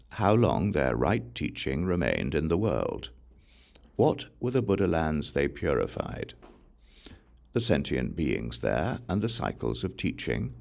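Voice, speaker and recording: Very deep-voiced man reading scripture slowly. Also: vocal fry, darkish tone. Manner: measured, deliberate phrasing with pauses. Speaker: audiobook reader.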